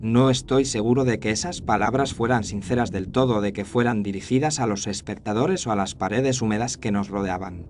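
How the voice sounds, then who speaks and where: A man's voice: on the low side, a little fast, few pauses. A man reading a scripted first-person horror story as its narrator. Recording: faint noise and ambience behind narration.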